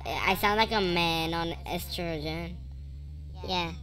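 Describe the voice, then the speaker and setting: Man as a slow pitch-shifted woman. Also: bright, forceful tone, poor audio. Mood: amused. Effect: pitch-shift voice changer.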